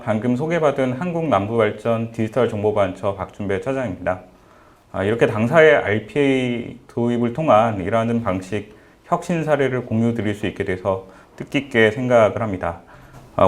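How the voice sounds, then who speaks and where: Formal, polite male guest speaker. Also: medium pitch. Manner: polite and formal, "a" and "mwo" fillers. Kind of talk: formal conference presentation.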